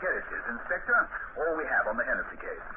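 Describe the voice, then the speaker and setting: A man's voice: medium pitch, big pitch swings, fast talker, natural voice. A man playing a plain-spoken provincial detective in a vintage radio drama, terse and matter-of-fact. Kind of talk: 1950s radio acting. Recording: tinny, hissy old radio broadcast.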